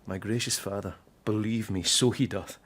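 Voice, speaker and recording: A man's voice: lowish voice, fairly even intonation, moderate pace, fairly bright tone. An actor in a classical verse radio drama playing a scheming young nobleman, courteous and persuasive. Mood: smoothly defensive. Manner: courteous, persuasive, self-justifying. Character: smooth, scheming young nobleman, a duke's son.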